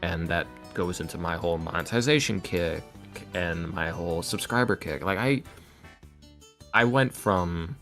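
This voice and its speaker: A man's voice: low voice, measured pace, a bit bright, soft background music. A man talking casually and earnestly as a podcast guest, in an informal way. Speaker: podcast guest, ASMR roleplay creator. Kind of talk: casual interview chat.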